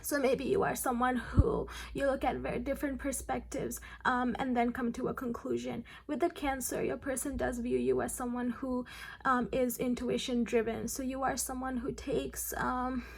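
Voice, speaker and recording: A young woman with a hoarse, raspy voice from losing it, giving a casual chatty tarot card reading to her viewers with frequent ums. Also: high voice, level tone, normal speed.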